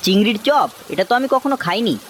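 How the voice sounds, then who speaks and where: Woman as fast-talking, frank village traveller. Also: mid-range voice, expressive, a little hiss or hum, lightly acted. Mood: delighted. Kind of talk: animated moral story dialogue.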